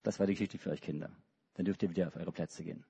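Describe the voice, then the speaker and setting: Man preaching, low mellow voice, husky, middle-aged, dull recording in a reverberant hall. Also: steady tone, racing. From Hamburg, Germany. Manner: storytelling to children, with many restarts and hesitations. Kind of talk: sermon with a children's story.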